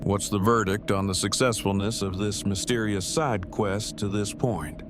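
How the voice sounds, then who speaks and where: An actor playing Eugene, a verbose, bookish and affectionate apocalypse survivor, speaking formally and precisely in an audio drama. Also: male voice, fairly low pitch, steady tone, moderate pace, over music, close to an everyday voice. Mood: curious, measured. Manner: formal, wordy, precise diction. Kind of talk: fan audio drama acting. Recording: clearly noisy, with ambience behind.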